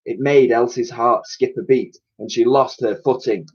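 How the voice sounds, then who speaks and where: A man's voice: medium pitch, steady tone, moderate pace, breathy edge, dull audio. A man reading a children's story aloud like a teacher, with theatrical, suspenseful narration and emphatic sound effects spoken by mouth.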